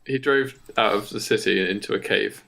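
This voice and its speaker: A man co-hosting a podcast, casually improvising a story in a halting way, as if thinking aloud. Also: fairly low pitch, vocal fry, sharp tone. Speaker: podcast co-host.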